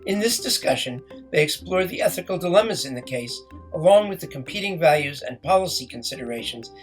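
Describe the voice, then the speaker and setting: A man's voice: a little high, expressive, brisk, faint music behind. A man reading a scripted podcast introduction as a presenter.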